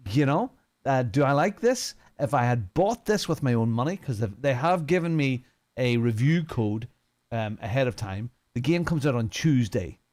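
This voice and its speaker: A man's voice: medium pitch, darkish tone. A man in his fifties with a Northern Irish accent, giving casual, unscripted first impressions of a racing game in a chatty, informal way. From Northern Ireland. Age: 50s. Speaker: sim racing streamer and community head.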